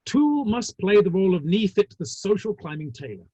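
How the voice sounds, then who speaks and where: A man playing a measured, businesslike Victorian theatre manager with a dry, considered manner, in a dramatic reading. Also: high voice, big pitch swings, warm tone, slightly rough, heavily acted. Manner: dry, considered, tactfully critical. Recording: dull, roomy online-call audio.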